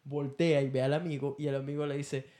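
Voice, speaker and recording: A man's voice: mid-range voice, level tone, brisk, warm tone. Venezuelan man swapping slangy stories. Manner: slangy, jokey storyteller who acts out dialogue. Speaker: podcast co-host. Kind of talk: casual anecdote-telling chat.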